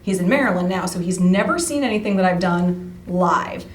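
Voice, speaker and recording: A woman's voice: lowish voice, lively intonation, a little fast. A middle-aged American woman chatting casually and informally as an interview guest, often dropping "like" into her sentences. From United States. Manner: informal, peppers talk with "like". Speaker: interview guest, amateur actor. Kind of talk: casual chat. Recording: roomy, distant, faintly noisy.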